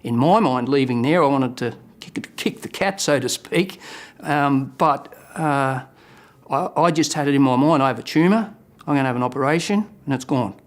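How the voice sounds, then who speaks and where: A man's voice: mid-range voice, normal speed. A man in his late fifties telling his own story in a matter-of-fact way. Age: 50s. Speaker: patient sharing his story. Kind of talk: personal testimony.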